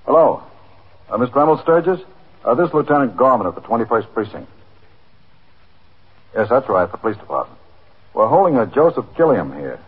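An actor playing a businesslike, courteous police desk lieutenant with a brisk official manner in a 1950s radio drama. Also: male voice, mid-range voice, very animated, slightly rough. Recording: tinny, narrow 1950s radio recording.

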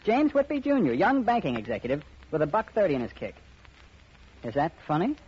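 A man's voice: a little high, big pitch swings, fairly quick, husky, heavily acted. An actor playing a breezy, spoiled young man, slangy and teasing, in a 1950s radio drama. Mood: cocky, teasing. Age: young adult. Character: cocky, spoiled young banker son wheedling money. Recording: dull, phone-like old radio recording.

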